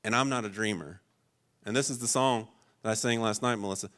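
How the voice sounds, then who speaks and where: A man preaching a sermon, earnest and emphatic, with loud stressed points and quiet personal asides. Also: lowish voice, brisk. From rural Ohio. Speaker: pastor.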